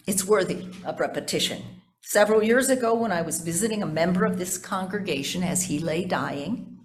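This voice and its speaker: A woman with a fairly low voice delivers a sermon as a pastor. She phrases things deliberately and repeats for emphasis, turning at times to speak conversationally to her congregation.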